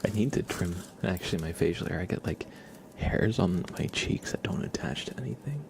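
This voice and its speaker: A man livestreaming ASMR, soft-spoken and playful, slipping between a murmur and a whisper as he chats and roleplays. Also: deep voice, lively intonation, fairly quick, gravelly, background noise. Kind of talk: ASMR live chat with roleplay, partly whispered. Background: scissor sounds.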